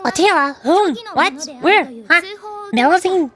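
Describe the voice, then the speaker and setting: A woman streamer doing a playful, expressive game read-along, acting out dialogue in a cartoonish manner. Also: extremely high pitch, measured pace. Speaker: VTuber streamer reading game dialogue aloud.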